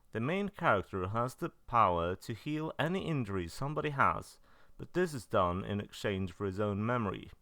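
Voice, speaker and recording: A man's voice: on the low side, a bit bright, no echo, studio quality. A man narrating a review roundup from loose notes, in a matter-of-fact and blunt way. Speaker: video narrator reviewing visual novels. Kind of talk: semi-scripted review narration.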